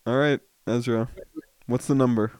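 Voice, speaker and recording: A man hosting a casual podcast quiz, reading questions slowly and teasing the players. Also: mid-range voice, steady tone, darkish tone, clean tone, dry recording. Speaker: quiz host.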